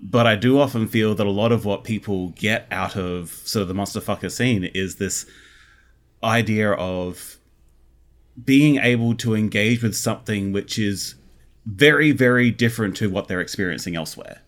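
A man's voice: lowish voice, moderate pace. A man speaking as an interview guest in casual conversation, explaining his ideas earnestly and with emphasis. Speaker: interview guest, audio drama creator.

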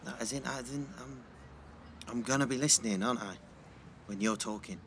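A young man playing a gentle, patient teenage schoolboy in a naturalistic audio drama, with an East Midlands English accent and a hesitant, placating manner. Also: mid-range voice, fairly quick, slight background noise, lightly acted. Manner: hesitant, placating, repeats words.

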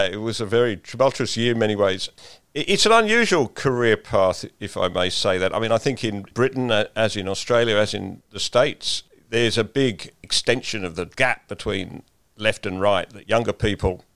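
A man interviewing a guest, asking measured, deliberate questions and stumbling over his openings. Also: lowish voice, a bit bright, no room sound. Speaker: interviewer and columnist.

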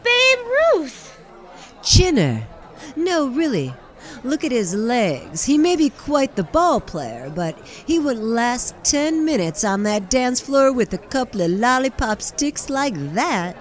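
An actress playing a sassy young flapper in a 1920s audio drama, drawling and mocking. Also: female voice, high voice, big pitch swings, slow pace, exaggerated voice. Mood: mocking, amused. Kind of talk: audio drama period dialogue.

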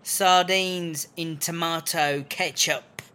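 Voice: medium pitch, steady tone, slow pace, sharp tone, smooth. A performer playing a weary, wry British woman inmate in a comedy audio drama, speaking colloquially and a little hesitantly. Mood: brisk, friendly. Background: music.

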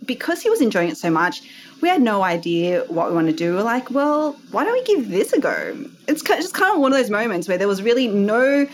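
A woman's voice: fairly high pitch, big pitch swings, fairly quick. Woman, 30s, Australian accent, chatty guest, bubbly, self-deprecating. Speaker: entrepreneur guest. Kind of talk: casual interview storytelling.

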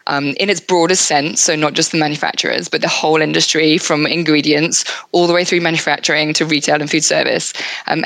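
A British woman answering interview questions as an industry expert, measured and explanatory in tone. The sound is dry and close, with no echo. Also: low-pitched, fairly bright tone. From United Kingdom. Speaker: guest expert.

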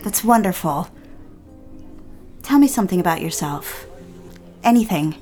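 A woman's voice: lowish voice, lively intonation, measured pace, mellow, soft background music. A woman playing a naive, polite, eager young office girl in a period audio drama. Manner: polite, proper, apologetic.

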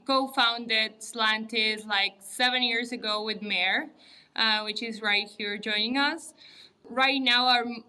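A woman's voice: a little high, pushed and bright. A woman from Uruguay, a native Spanish speaker with a Uruguayan Spanish accent, talking casually as a podcast guest. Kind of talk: casual interview talk.

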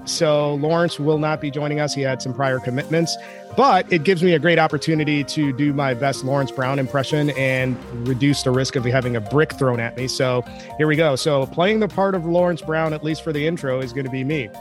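A man's voice: a little high, brisk, music in the background. A man hosting a business podcast in an upbeat, jokey way, calling himself a nerd. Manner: enthusiastic, jokey, self-described nerd. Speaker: podcast host.

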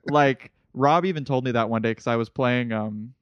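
A man's voice: mid-range voice, normal speed, muffled recording, slight background noise. A man in his early twenties, a comedian guest telling rambling stories with lots of restarts in a casual podcast chat. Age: 20s. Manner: eager, rambling storyteller with many restarts.

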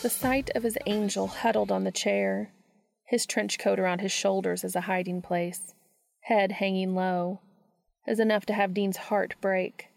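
A woman's voice: medium pitch, fairly even intonation, a little slow, vocal fry, no room sound. A woman hosting a podcast, reading scripted introductions and fan fiction narration aloud. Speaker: podcast host and reader.

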